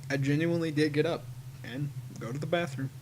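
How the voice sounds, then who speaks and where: A man's voice: fairly high pitch, a little fast, a little mellow, slight background noise. A man giving casual, rambling commentary, swearing and repeating himself. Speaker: host commenting on own show.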